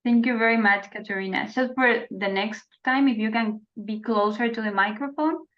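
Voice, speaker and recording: A woman from Argentina moderating an online youth dialogue as a peace educator, speaking formally with frequent pauses and restarts over a video call. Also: on the high side. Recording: slightly dull video call audio.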